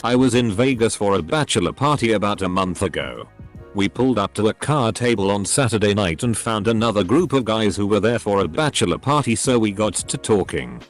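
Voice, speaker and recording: A man's voice: on the low side, lively intonation, brisk, soft background music. A man reading out online stories as a scripted narration.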